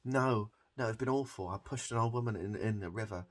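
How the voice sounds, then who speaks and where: A man's voice: on the low side, a little fast, voice pushed up, lightly acted. A man voicing a naughty young child in a comedy roleplay, pleading and blurting eagerly. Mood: blurting, excited.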